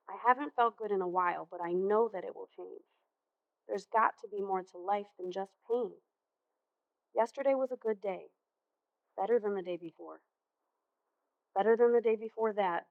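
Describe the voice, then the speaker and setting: A woman's voice: medium pitch, dry recording, close to an everyday voice. Muffled, patient woman playing a female guidance counselor. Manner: gentle, earnest, measured counseling delivery. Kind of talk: amateur stage play acting. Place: theater stage. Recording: muffled, dull stage recording.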